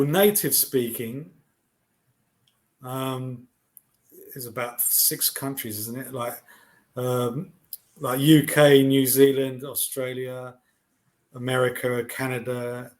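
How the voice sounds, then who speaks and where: A man chats loosely on a video call, laughing easily and trailing off into fillers. His voice sits at an ordinary, middle pitch for a man. He sounds like an online tutor in a casual lesson. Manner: relaxed and chatty, laughs often, trails off with fillers.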